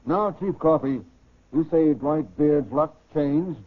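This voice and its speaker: Male crime-show host, slightly high pitch, formal scripted 1940s delivery, phone-thin radio recording. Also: slow pace. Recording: tinny band-limited 1940s radio recording.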